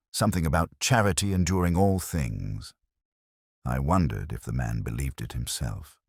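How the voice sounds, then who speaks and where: A man plays a weary, melancholy detective looking back on a case in an audio drama. His voice is exceptionally deep, with wide, expressive swings of intonation. The telling is brooding and literary, recorded close and dry. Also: breathy edge, no room sound, full character voice. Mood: somber. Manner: brooding, measured, literary phrasing. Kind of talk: first-person literary mystery narration, audio drama.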